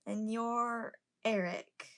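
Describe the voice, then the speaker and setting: A woman's voice: a little high, fairly even intonation, very slow, clear, resonant tone. A woman voicing a casual, slightly awkward young passenger in an amateur audio drama.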